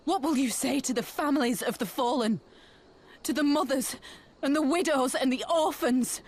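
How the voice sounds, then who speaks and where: A woman's voice: sits high, normal speed, close to an everyday voice. An actress playing a grieving young resistance fighter who accuses her leaders with passion. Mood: anguished. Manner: impassioned, accusing. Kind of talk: game cutscene voice acting.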